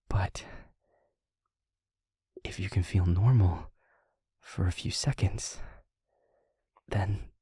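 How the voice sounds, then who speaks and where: Gentle male vampire, played by a man, low and slow, soothing a partner in an intimate audio roleplay. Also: no room sound, studio quality, full character voice. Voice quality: breathy, husky, near-whispering. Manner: halting, deliberate, reassuring.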